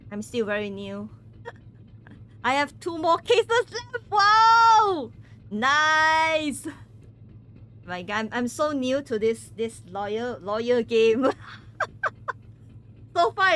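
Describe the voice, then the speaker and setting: Giggly, slow-talking woman streamer. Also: fairly high pitch, expressive, pushed and bright. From Malaysia. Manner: giggly, stumbles and repeats words. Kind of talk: casual chat with viewers during a game stream.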